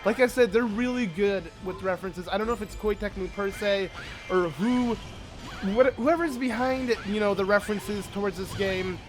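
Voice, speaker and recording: A man who is sick, with a slightly hoarse voice, giving excitable, rambling gameplay commentary full of fillers as a let's play host. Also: high voice, moderate pace, over music, poor audio.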